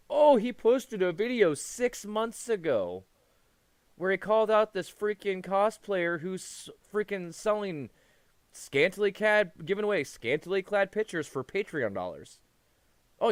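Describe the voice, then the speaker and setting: A man's voice: medium pitch, smooth, no room sound. A man giving unscripted, opinionated commentary as a YouTuber, rambling, repeating himself and swearing. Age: adult past his teens. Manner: rambling, repetitive, profane.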